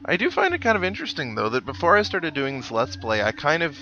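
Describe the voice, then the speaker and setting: A man's voice: a little high, huge pitch swings, fast, bright, forceful tone, highs cut off. A man giving casual, chatty video game commentary with wry asides, as the host of a let's play. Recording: game music playing behind the voice. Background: video game music.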